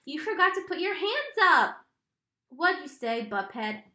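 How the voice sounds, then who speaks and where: A woman's voice: high-pitched, expressive, unhurried, bright, forceful tone, roomy sound, exaggerated voice. A woman doing a bossy teenage brother. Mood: mock disappointment. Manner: teasing, then panicky and pleading. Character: teenage boy, bossy older brother and prankster.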